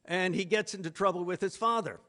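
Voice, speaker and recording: A man giving a literary lecture in a deliberate, emphatic manner with pauses between phrases. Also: high-pitched, bright, forceful tone. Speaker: conference speaker, literary lecturer. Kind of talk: literary lecture with read-out quotations.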